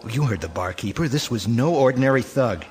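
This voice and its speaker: A man playing a cold, corrupt and scheming military colonel, crisp and authoritative, in an audio drama. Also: medium pitch, animated, brisk, darkish tone, soft background music, lightly acted. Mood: scheming command.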